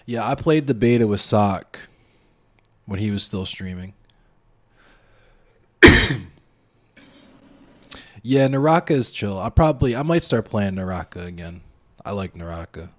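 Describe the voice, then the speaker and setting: A man's voice: on the low side, lively intonation, measured pace, pauses often. A man streaming a video game, chatting casually and rambling to his viewers and a friend. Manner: laid-back, rambling, talks to chat and a friend. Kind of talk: casual gaming stream chat.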